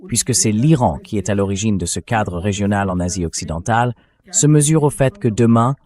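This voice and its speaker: A man's synthetic voice reading translated commentary as an interview guest, explaining points in a measured way. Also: on the low side, darkish tone. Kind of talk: translated political commentary voice-over read by synthetic voice.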